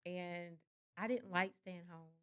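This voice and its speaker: A woman in her forties, a hair stylist from North Carolina, speaking as an interview guest in an easygoing, soft-spoken way. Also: fairly low pitch, fairly even intonation, takes it slowly, smooth. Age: 40s. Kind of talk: casual interview chat.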